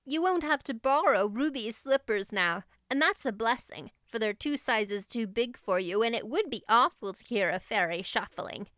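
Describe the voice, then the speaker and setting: A chatty, sensible young girl acted by a woman narrator in a storybook. She speaks in a high, clear voice with brisk, matter-of-fact emphasis, like a loyal best friend. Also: animated, fairly bright tone, no room sound, studio quality. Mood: excited, gossipy. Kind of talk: audiobook character voice.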